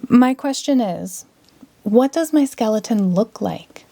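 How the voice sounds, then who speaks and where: A woman's voice: a little high, lively intonation, takes it slowly, creaky, warm tone, pitched above the performer's own voice, full character voice. A woman voicing a polite, curious little girl in a storybook, earnest and inquisitive.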